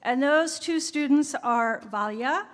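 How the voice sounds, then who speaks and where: A woman's voice: sits high, takes it slowly. A woman announcing as host of a live awards ceremony. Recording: audience murmur, faint noise, live event.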